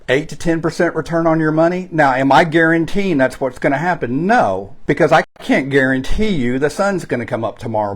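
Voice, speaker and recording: A man with a Texan accent talks straight to the listener in a folksy, conversational sales pitch. His voice sits at an ordinary middle pitch, and he often stops to correct himself or fill pauses with "uh" and "um". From Texas. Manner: folksy and chatty, with frequent fillers and self-corrections. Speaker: real estate investment promoter. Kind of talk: direct-to-camera sales pitch.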